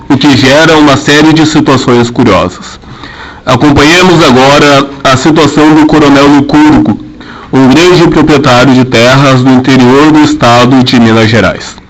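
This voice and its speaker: A man narrating a radio drama in a formal, measured storytelling manner. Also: medium pitch, a little hiss or hum, rough recording.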